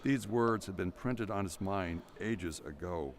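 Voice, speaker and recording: A man's voice: deep voice, unhurried, slight background noise. A man reading scripted narration for an audio drama in a dramatic storytelling style.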